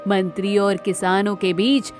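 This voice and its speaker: A woman's voice: mid-range voice, steady tone, unhurried, soft background music. A woman narrating a scripted children's moral story as the storyteller.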